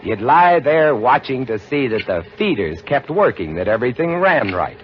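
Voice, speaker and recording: An actor narrating as a lighthouse keeper with vivid, dramatic storytelling in a 1950 radio drama. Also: male voice, medium pitch, big pitch swings, moderate pace, a little hiss or hum, lightly acted. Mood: tense. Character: lighthouse keeper recounting a horror, male, adult. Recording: dull old radio broadcast with music.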